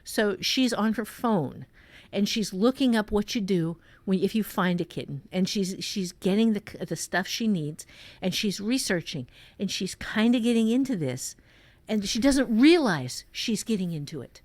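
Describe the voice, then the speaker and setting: A woman's voice: medium pitch, animated, moderate pace, darkish tone, no echo. Woman improvising a story, dry close sound. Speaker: author and writing teacher. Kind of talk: improvised storytelling in a conversational writing lesson.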